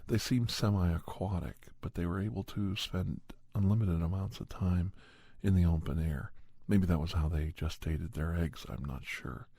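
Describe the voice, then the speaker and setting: A man with a deep, mellow voice narrates a horror tale as ASMR. His delivery is measured and halting, like a scientist giving his account, and the texture is husky and breathy. The sound is close and dry. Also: dry recording. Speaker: ASMR storyteller and podcast host. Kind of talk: ASMR first-person horror story narration.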